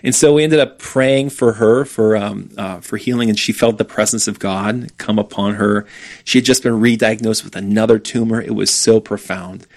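A man's voice: lowish voice, fairly even intonation, moderate pace. A man in casual conversation, earnestly retelling a ministry story with quoted dialogue. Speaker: ministry speaker.

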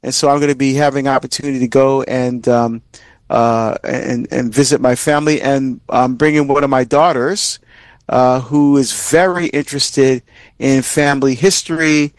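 A middle-aged man from Barbados hosting a religious radio talk, speaking conversationally in an earnest, halting way with repeated phrases. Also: medium pitch, fairly even intonation, dry recording. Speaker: religious radio host and deacon.

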